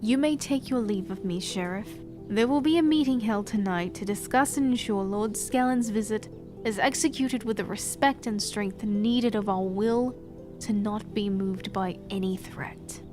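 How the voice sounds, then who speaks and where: A woman's voice: mid-range voice, normal speed, over music, natural voice. An actress playing a stern, regal queen in a fantasy audio drama. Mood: commanding. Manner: regal, commanding, then hushed. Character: stern, guarded young-ish queen.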